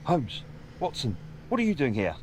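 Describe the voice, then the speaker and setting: A man's voice: medium pitch, huge pitch swings, normal speed, a little mellow, slight background noise. A man playing a dutiful, deferential police inspector reporting officially in an audio play.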